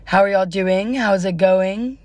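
A woman's voice: medium pitch, unhurried, darkish tone, no room sound. A young adult woman hosting a casual solo podcast in an informal, chatty way, her voice slightly worn as if she is starting to lose it. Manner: informal, giggly, uses y'all. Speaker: podcast host.

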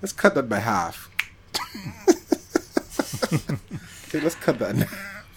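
A man co-hosting a podcast in casual chat about films, talking in a jokey, slangy, animated way. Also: a little high, slow, creaky. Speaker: podcast co-host.

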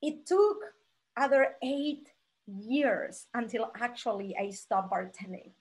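Argentinian-born woman in her 40s, animated guest telling her story, slightly high voice. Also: takes it slowly, poor audio. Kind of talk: conversational interview storytelling.

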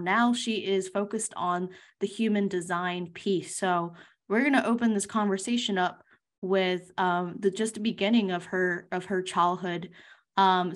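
Conversational woman podcast host. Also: lowish voice. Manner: friendly, conversational questioning. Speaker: podcast host and life coach. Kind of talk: casual interview hosting.

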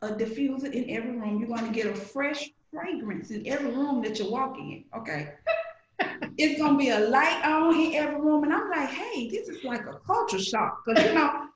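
Lively Southern woman with roomy echo. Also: high voice, moderate pace, lo-fi sound. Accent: Southern US (Alabama). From Alabama, USA. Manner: animated storytelling, playful, acts out voices in quotes. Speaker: guest, grocery shopper. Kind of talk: casual podcast conversation.

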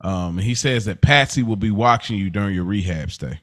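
A man's voice: lowish voice, brisk, fairly bright tone. A man hosting a podcast in a casual chat about a TV show, speaking informally with plenty of slang. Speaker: podcast host. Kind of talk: casual chat reviewing a TV series.

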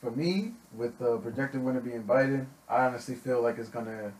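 A man's voice: medium pitch, brisk, a little mellow. A young adult man speaking as a podcast guest, chiming in with short agreements before giving his own view. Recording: echoey room.

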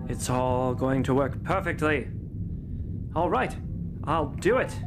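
A man's voice: mid-range voice, slow, background noise. A man playing an earnest, grandiose self-styled space captain in an audio comedy, speaking in a theatrical, commanding way. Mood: grandiose, determined. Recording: voice under very loud music.

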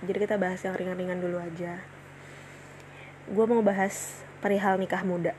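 A woman's voice: on the low side, flat delivery, moderate pace, darkish tone, slight background noise. A woman in her twenties hosting her own casual solo podcast, chatting in slang with many fillers and restarts. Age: 20s. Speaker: podcast host.

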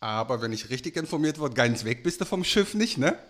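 A man's voice: medium pitch, sing-song, a little fast, fairly bright tone. A man hosting a podcast interview, asking casual questions and giving short affirming replies. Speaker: podcast host.

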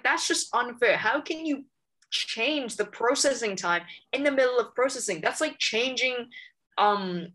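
A woman's voice: a little high, bright, forceful tone. A young woman from Hong Kong, a native English speaker, complaining emphatically in a casual chat. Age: young adult.

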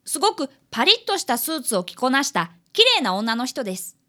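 Woman voicing young boy, apprentice detective, earnest, high pitch, animated intonation, radio drama narration. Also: fairly quick. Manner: polite, earnest. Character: polite eager schoolboy apprentice detective, about eleven. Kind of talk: first-person narration in comedic radio drama.

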